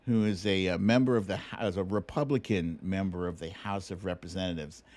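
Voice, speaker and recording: A man's voice: lowish voice, darkish tone. A man hosting a casual livestream, chatting through news and viewer comments in a wry, teasing, colloquial way. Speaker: livestream show host.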